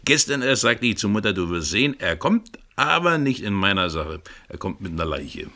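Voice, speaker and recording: A sly, talkative smuggler's fixer for a radio crime drama. The voice is low yet sharp and swings freely in pitch. He teases with theatrical, knowing eagerness. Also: very animated, moderate pace, full character voice. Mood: eager, teasing. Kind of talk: 1970s German radio crime drama dialogue.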